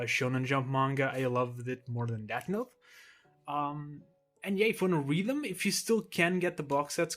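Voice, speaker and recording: A young man from Portugal, a native Portuguese speaker, talks through his collection in a loose, rambling way. His voice sits at an ordinary middle pitch, and soft music plays quietly behind him. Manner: relaxed, rambling, with frequent ums and restarts. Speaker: manga collector showing his shelves. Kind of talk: casual collection tour vlog.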